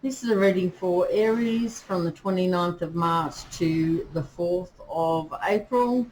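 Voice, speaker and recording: A woman giving a casual tarot reading, chatty and full of fillers, pausing to ask her spirits and guides as she draws cards. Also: fairly low pitch, a little mellow, low-quality recording. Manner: chatty, many fillers, talks to spirits while drawing cards. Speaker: tarot reader. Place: indoors with rain outside. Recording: faint rain noise behind.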